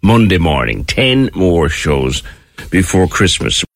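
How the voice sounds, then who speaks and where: A man's voice: sing-song, takes it slowly, background noise. A man hosting a morning radio show in a chatty, playful way. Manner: chatty, playful, swoops up in pitch. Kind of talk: casual morning radio chat. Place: radio studio.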